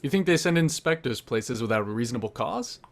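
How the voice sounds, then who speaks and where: A man's voice: mid-range voice, animated, brisk, creaky. An actor playing a stern family doctor and former military man in a radio murder mystery.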